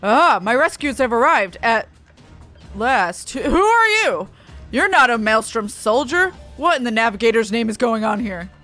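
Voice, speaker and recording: Woman playing a pompous, bright-voiced noble captive. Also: fairly high pitch, very animated, measured pace, faint music behind, heavily acted. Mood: indignant. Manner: pompous, formal. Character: haughty, indignant noble captive, proud and demanding. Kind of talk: video game dialogue read aloud.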